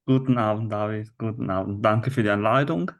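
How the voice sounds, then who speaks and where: A man's voice: lowish voice, fairly quick. A man from Italy in his thirties with an Italian accent, a teacher talking as a guest over a video call, hesitant with many fillers and restarts. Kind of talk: conversational interview.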